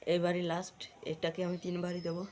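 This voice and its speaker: A man's voice: high-pitched, monotone, moderate pace, darkish tone, a little hiss or hum. A man with a Bangladeshi accent chatting informally to viewers as a video host, with repetitive running commentary. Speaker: prank-call video host. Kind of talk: casual vlog chat to viewers.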